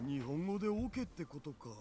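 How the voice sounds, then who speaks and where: A man's voice: sits high, very animated, racing, mellow. An actor voicing a laid-back young male computer geek in a Japanese anime visual novel. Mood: puzzled. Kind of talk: Japanese anime game voice acting.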